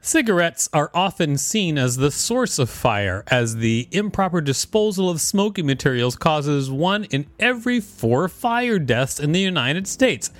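A man's voice: fairly high pitch, big pitch swings, a little slow, hardly any pauses, no echo. A man co-hosting a podcast, reading an article aloud with casual banter. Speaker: podcast co-host.

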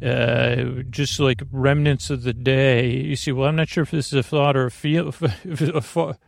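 A man hosting a sleep podcast in a gravelly voice, with a meandering, stammering, reassuring bedtime monologue that keeps losing its thread. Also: mid-range voice, steady tone, a little slow, no room sound, clean studio sound. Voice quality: creaky, gravelly.

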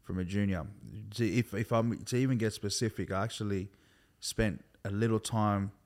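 An Australian man in his thirties from Sydney, a hip hop artist talking as a guest in a relaxed, rambling way, peppered with "like" and "bro". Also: fairly low pitch, moderate pace. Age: 30s. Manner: laid-back, loose and rambling, full of "like" and "bro". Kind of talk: casual conversation.

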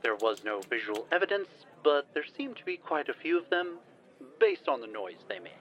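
A man playing an earnest, improvising smooth talker from an exploration team in an audio drama, formal but halting. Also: on the high side, sing-song, dull audio. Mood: earnest explaining.

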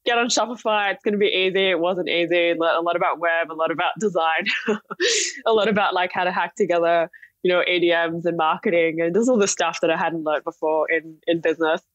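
A woman's voice: lowish voice, brisk, no echo, lo-fi sound. A young woman from Singapore telling her story conversationally as an interview guest on a podcast. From Singapore, partly Australia. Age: young adult. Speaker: podcast guest, startup chief executive.

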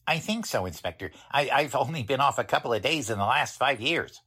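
Husky male voice, acted folksy bank vault attendant, lively swings in pitch, deferential, 1940s radio play. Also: fairly quick, full character voice. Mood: earnest, deferential. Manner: folksy, plain-spoken. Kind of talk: 1940s radio drama acting.

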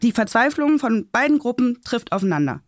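A woman's voice: fairly high pitch, big pitch swings, brisk, dry recording, studio quality. An actress playing a self-critical policewoman in a radio interview, explaining hesitantly and repeating words. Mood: reflective. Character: thoughtful, self-critical female police officer being interviewed. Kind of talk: scripted radio drama interview.

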